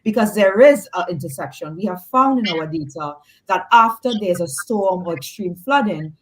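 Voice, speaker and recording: A woman's voice: lowish voice, low-quality recording. A woman with a Trinidadian accent, a guest on a radio talk show, explaining research earnestly with some restarts. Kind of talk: radio interview.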